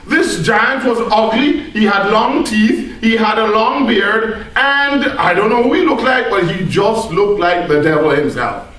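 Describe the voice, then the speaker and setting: A woman's voice: medium pitch, animated, unhurried, hardly any pauses, a bit bright. A woman telling a comic tall tale live to an audience in a theatrical, mock-earnest manner, in a room. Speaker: storyteller at a speech club. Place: echoey indoor room, speaking to an audience. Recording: very echoey room, distant microphone.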